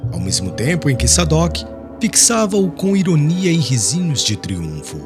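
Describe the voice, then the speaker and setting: A man reads scripted literary narration for an audiobook. His voice sits a little high and moves expressively, formal and solemn, with soft music underneath.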